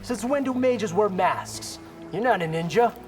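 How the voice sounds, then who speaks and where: A wry young martial artist in a fighting game. His voice sits fairly high and moves a lot, casual and cocky yet earnest, over loud music. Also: lively intonation, measured pace. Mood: casual, probing. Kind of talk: fighting game character dialogue.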